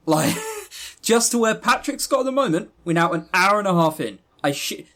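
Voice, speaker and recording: A man with a fairly high voice and very animated intonation co-hosts a podcast. He comments critically in casual chat, sounding sardonic and exasperated, and tends to interject. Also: normal speed. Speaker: podcast co-host.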